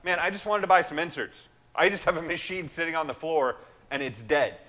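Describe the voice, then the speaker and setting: A man in his thirties hosting a casual, animated shop talk and walking through a website, recorded in a large machine shop. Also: on the high side, fairly quick. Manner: animated, gestures broadly while talking. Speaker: show host. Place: machine shop floor, large indoor space.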